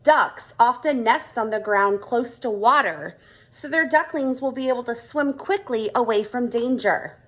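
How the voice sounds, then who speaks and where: A woman reading a children's storybook aloud like a kindergarten teacher, expressive and deliberate. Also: fairly high pitch.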